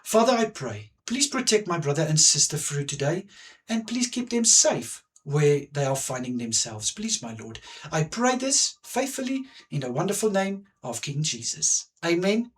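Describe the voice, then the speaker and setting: A man's voice: a little high, sing-song, normal speed. A man with an Afrikaans accent, whose first language is Afrikaans, leading a spoken prayer in an earnest, fervent way, swelling with emphasis on his petitions. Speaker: prayer leader.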